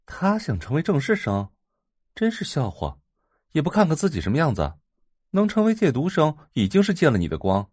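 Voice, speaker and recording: A man's voice: fairly high pitch, huge pitch swings, normal speed, a little mellow, slightly rough, dry recording, clean studio sound, heavily acted. Man acting sneering, arrogant young man. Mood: mocking. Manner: sneering, mocking. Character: scornful, arrogant teenage boy, protective of girl.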